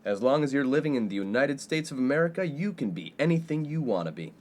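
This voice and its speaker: A man's voice: mid-range voice, big pitch swings, quick pace, natural voice. A man playing a brash, self-absorbed college playboy in a comedic radio play, breezy and self-important. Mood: breezy, smug. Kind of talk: comedic 1950s-set radio play acting. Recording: faint background noise.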